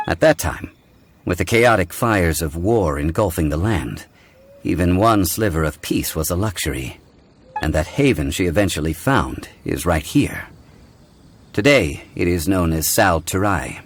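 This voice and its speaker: Deep, composed, scholarly gentleman. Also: lively intonation, a little hiss or hum. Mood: solemn, storytelling. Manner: measured, formal, didactic. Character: composed, learned ancient god as gentleman consultant. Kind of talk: video game voice acting.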